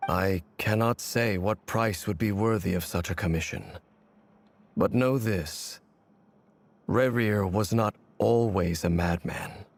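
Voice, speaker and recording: Very deep, brooding ancient wanderer. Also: male voice, slight background noise. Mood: grave, measured. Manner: grave, measured, formal. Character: brooding ancient male wanderer haunted by betrayal. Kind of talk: dramatic game voice acting.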